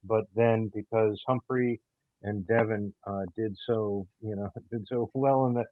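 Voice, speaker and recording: Male interviewee, low-pitched and hesitant, thin phone-like sound with room echo. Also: fairly even intonation. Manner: hesitant, halting, many pauses. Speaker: audio drama creator and writer. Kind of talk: halting interview talk. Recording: tinny, band-limited call audio, roomy echo.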